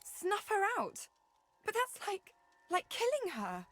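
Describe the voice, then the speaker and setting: A woman's voice: very high-pitched, wildly swooping pitch, slow pace, a bit bright, faint music behind, heavily acted. An actress playing an anxious, earnest young woman adventurer in a video game, hesitant and emotional. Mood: distressed.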